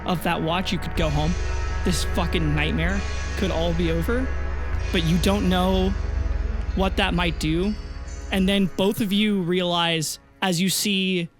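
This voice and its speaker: A man's voice: high-pitched, steady tone, unhurried. A man working as game master, narrating a role-playing scene dramatically in an informal, sweary way. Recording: music under the voice.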